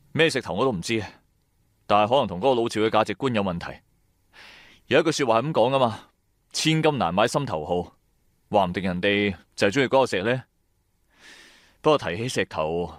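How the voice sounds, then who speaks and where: Man acting a wry, animated storytelling trader. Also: fairly low pitch, a little fast, pauses often, dry recording, studio quality, close to an everyday voice. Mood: musing, storytelling. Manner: storytelling, colloquial, sardonic. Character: wry, shrewd male trader recounting his own supernatural adventures. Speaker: narrator and lead character. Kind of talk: first-person radio drama narration in colloquial Cantonese.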